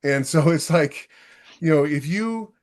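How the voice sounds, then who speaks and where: A man's voice: fairly high pitch, expressive, unhurried. A man hosting a casual podcast chat, giving opinionated, emphatic advice as an author.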